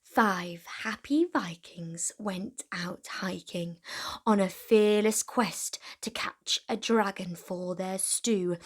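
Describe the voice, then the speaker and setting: A woman hosts a children's read-aloud in a fairly high, highly animated voice. She goes slowly, leaning dramatically on key words as a storyteller does.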